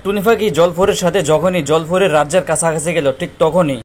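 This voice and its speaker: Male narrator, slightly high voice, scripted children's story, quick pace, faint background noise. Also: steady tone.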